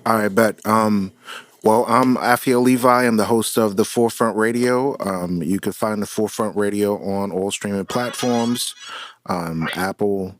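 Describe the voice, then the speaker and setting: A man's voice: lowish voice, measured pace. A man who hosts a radio show, casually telling listeners where to find it.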